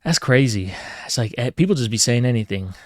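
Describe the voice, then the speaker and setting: A man's voice: medium pitch, animated, brisk, no echo, studio quality. A man live-streaming, chatting casually and teasing his chat while rambling through strong opinions.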